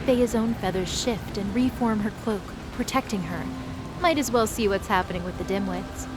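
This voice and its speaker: A woman's voice: mid-range voice, normal speed, background noise. A woman narrating an audio drama in a scripted style with wry, casual asides. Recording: music underneath.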